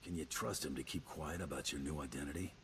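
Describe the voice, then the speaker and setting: An actor playing a cool, steady partner in crime in a video game, speaking in short, deadpan replies. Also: male voice, rumbling low, fast talker, slight background noise. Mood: dry, supportive. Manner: terse, deadpan. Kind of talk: video game character dialogue.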